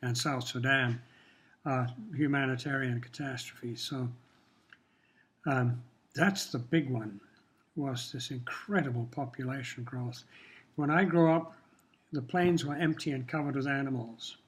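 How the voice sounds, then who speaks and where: An older man from Kenya whose first language was Swahili, speaking in a measured, reflective way while answering questions in an interview. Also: mid-range voice, steady tone, husky. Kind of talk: casual interview talk.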